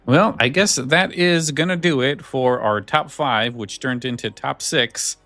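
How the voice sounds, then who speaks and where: A man's voice: medium pitch, a little slow, sharp tone, no echo, soft background music. A man hosting a podcast, chatting casually and loosely with listeners in an informal, conversational way. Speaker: podcast host. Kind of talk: casual chat, show sign-off.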